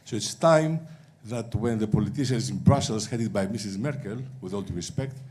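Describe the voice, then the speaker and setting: A man's voice: fairly low pitch, normal speed, a little mellow. A man asking a question from the floor of a conference hall, impassioned and insistent. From Greece. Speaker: audience member asking a question. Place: large conference auditorium.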